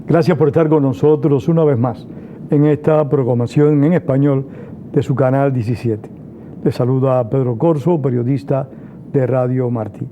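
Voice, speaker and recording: A man hosting a TV interview program in Spanish, speaking in a measured, formal way. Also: medium pitch, fairly even intonation, dark tone. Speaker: TV interview host. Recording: tinny, phone-like sound with faint noise.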